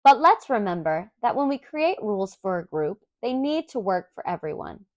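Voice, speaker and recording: Woman reading as gently firm, lively-voiced storybook mother. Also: medium pitch, moderate pace, no room sound, clean studio sound, close to an everyday voice. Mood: gentle, reasoning.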